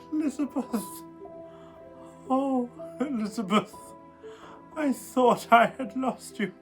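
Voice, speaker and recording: An actor playing a dying, delirious lover in a radio play, speaking tenderly in halting gasps. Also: mid-range voice, faint music behind. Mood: tender, weeping.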